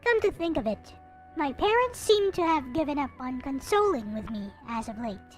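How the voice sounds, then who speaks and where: A woman reading aloud as a despairing young visual novel narrator, with dramatic, deliberate recitation. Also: sits high, sing-song. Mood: bitter, despairing. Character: despairing young narrator losing eyesight, wistful and bitter. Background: game music.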